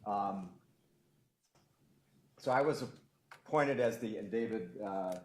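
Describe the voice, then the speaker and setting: A man from the Cleveland area speaks to a public forum as a county official. His delivery halts, full of ums and restarts. The echoey room carries his voice around it. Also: fairly low pitch. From Cleveland area, Ohio. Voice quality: loud voice. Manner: halting, many ums and restarts. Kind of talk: unscripted public talk.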